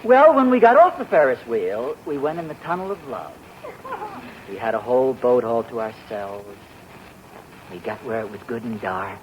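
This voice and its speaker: A man's voice: medium pitch, very animated, moderate pace, natural voice. An actor playing a husband who teases his wife with a boastful story in a 1940s radio sitcom. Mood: teasing. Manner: teasing, playfully boastful storyteller. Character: teasing husband spinning a boastful tale. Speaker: sitcom lead. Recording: muffled 1940s radio broadcast with hiss.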